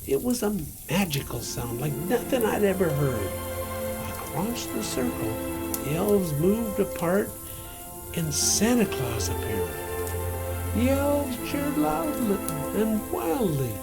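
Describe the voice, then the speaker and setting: A man reading a children's story aloud in a theatrical, drawn-out way, as a Santa performer. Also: sits high, very animated. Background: music.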